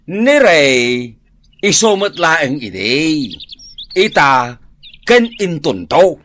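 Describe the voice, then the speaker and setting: Drawling, carefree Ilocano drinker. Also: male voice, on the high side, very animated, drawn-out words, a bit bright, a little hiss or hum, exaggerated voice. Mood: teasing. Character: carefree, joking, hard-drinking husband and son. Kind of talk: Ilocano radio drama acting.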